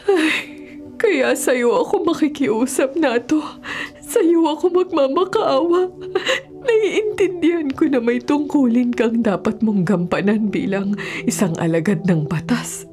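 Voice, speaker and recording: A woman's voice: high-pitched, expressive, measured pace, a little mellow. A woman acting an anguished, pleading Filipino wife in an emotional, melodramatic radio drama. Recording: radio drama with clear music bed.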